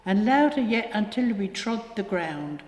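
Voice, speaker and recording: A woman reciting rhyming poetry in a measured reading style. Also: mid-range voice, big pitch swings, a little mellow. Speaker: poetry reader. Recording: echoey hall with long reverb.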